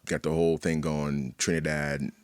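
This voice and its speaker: A man from Haiti telling personal stories as an interview guest in a casual, candid, animated way, often checking in with "you know". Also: extremely low pitch, normal speed, dry recording, clean studio sound. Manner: animated, repeats "you know what I mean". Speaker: podcast guest, artist.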